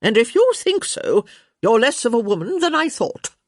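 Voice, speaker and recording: A woman's voice: a little high, sing-song, moderate pace, dry recording, studio quality, voice pushed up. A woman voicing a blunt, crotchety elderly countess with a grand, emphatic manner in an audiobook story. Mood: indignant, demanding. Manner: grand, emphatic, sharp-tongued pronouncements.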